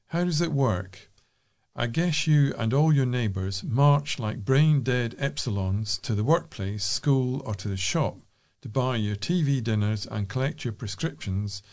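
A man with a medium-pitched voice reads printed letters aloud as a volunteer newsreader. He gives the phrases clear emphasis and now and then trips slightly over a word. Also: darkish tone. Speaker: volunteer reader for a local talking newspaper. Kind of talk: reading letters and local news aloud.

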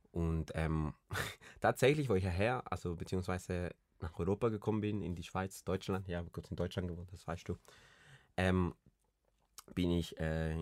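Deep-voiced young man from Colombia. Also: no room sound, studio quality. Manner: rambling, many restarts and fillers. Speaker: podcast co-host. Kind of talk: casual chat.

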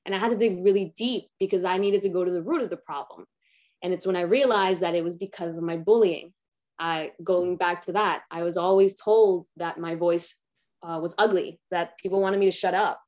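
A young woman, an actress, with a raspy, low voice, talking candidly and reflectively in a casual interview, with many "like" fillers. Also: fairly even intonation, a little fast, a little mellow. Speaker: actress guest.

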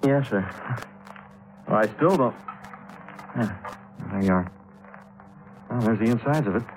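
Man acting a low-voiced, deferential studio technician. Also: measured pace, natural voice, poor audio. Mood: uneasy, evasive. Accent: American. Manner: polite, unassuming, evasive. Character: deferential male studio technician hiding his guilt. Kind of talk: 1950s radio drama acting. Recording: vintage 1950s radio broadcast recording.

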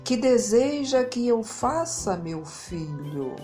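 A woman voicing God as a patient, fatherly Creator, asking gently and slowly. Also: on the high side, big pitch swings, faint music behind.

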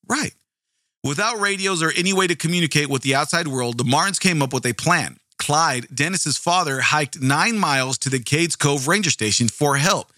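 Brief: animated, foul-mouthed male storyteller, projecting voice, somewhat high. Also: no echo, clean studio sound. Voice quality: booming projecting voice. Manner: animated, profane, repeats words. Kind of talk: casual true-crime storytelling.

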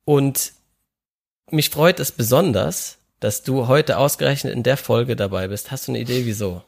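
A man hosts a podcast in a relaxed, casual chat. His voice has a gravelly fry to it. He talks hesitantly, with plenty of filler sounds between his thoughts. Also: medium pitch, moderate pace. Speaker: podcast host.

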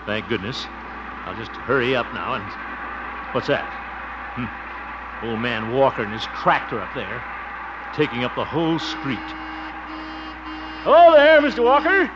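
An actor playing a blustering, soft-hearted middle-aged man in a 1950s radio comedy, with muttered asides and nervous giggles. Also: mid-range voice, big pitch swings, unhurried, pauses often. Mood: exasperated. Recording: muffled, very noisy old radio recording.

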